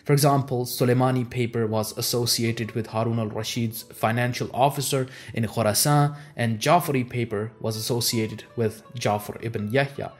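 A man whose first language is Urdu, giving a measured, scripted history narration and stressing the transition words. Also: mid-range voice, fairly even intonation, soft background music.

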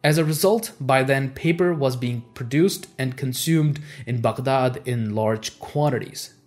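Man, native Urdu speaker, scripted history narration, measured and explanatory, mid-pitched voice, soft music underneath. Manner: measured, explanatory, with stressed openings on transition words.

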